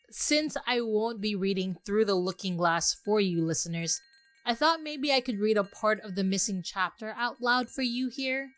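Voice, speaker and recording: A woman hosting a podcast, reading scripted narration and storybook prose in an expressive, courteous way. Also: medium pitch. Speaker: podcast host and reader.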